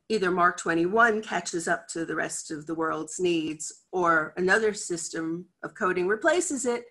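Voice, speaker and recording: A woman's voice: on the low side, pushed and bright. A middle-aged American woman, head of a library cataloguing department, giving a chatty, candid conference talk over a video call from her office. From United States. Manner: chatty, candid, acts out quoted voices. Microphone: video call webcam.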